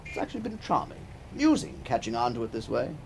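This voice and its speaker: A middle-aged gentleman back from long years abroad in a radio drama. His gravelly voice swings up and down as he muses with wry hesitation. A faint noise sits behind him. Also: medium pitch, huge pitch swings, fast talker. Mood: amused. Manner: musing, hesitant, wry, with small chuckles. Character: middle-aged wistful man returned from decades abroad.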